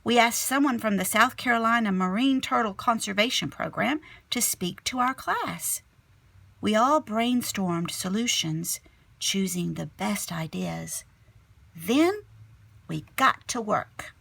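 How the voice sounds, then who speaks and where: A woman teacher reading a children's picture book aloud expressively, sometimes dropping to a hushed tone. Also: medium pitch, takes it slowly, creaky, no echo. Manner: animated storyteller, drops to hushed whispery tones.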